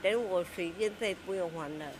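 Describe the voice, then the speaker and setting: A woman's voice: lowish voice, fairly quick. An older woman answering a street interviewer in a plain, matter-of-fact way. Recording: clear background noise outdoors.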